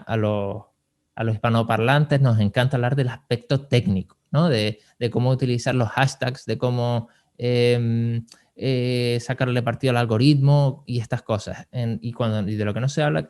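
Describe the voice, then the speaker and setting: A man's voice: mid-range voice, steady tone, moderate pace, darkish tone. A man with a mid-range voice hosts a conversational podcast. He gives advice in an informal, explanatory manner, talking through his points as he goes. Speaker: podcast host and marketing advisor.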